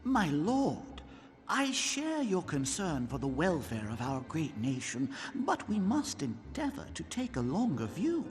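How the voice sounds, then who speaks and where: An actor playing a principled, impassioned wealthy lord in a fantasy game, with courtly, theatrical phrasing. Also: male voice, on the high side, huge pitch swings, measured pace. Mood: diplomatic. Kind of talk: scripted fantasy game voice acting. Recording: long hall reverb, music underneath.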